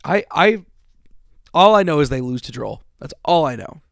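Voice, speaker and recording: A man hosting a casual podcast, his voice sore and worn out after days of strain. He sits a little high in pitch for a man and talks in a rambling way, swearing casually and often restarting sentences. The recording is close and dry, with almost no room sound. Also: expressive, normal speed, creaky, fairly bright tone, no echo. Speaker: podcast host.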